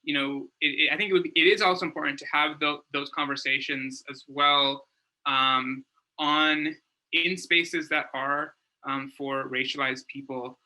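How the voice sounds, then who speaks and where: A man speaking informally on an online panel, haltingly searching for words and repeating phrases as he goes. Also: fairly high pitch, steady tone, sharp tone, low-quality recording. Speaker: panelist and community developer. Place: online video call.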